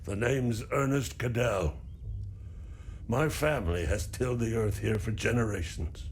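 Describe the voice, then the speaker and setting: An actor playing a weary, stoic older farmer in a video game, grieving and embittered by war, speaking in a measured way. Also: male voice, medium pitch, a little hiss or hum, lightly acted. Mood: weary, sorrowful. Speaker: non-player character. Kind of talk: video game dialogue acting.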